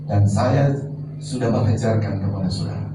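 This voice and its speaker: A man's voice: lowish voice, normal speed, music in the background. A man preaching a sermon as pastor, teaching and putting questions to the congregation. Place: large church hall. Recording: echoey church, far from mic, noisy.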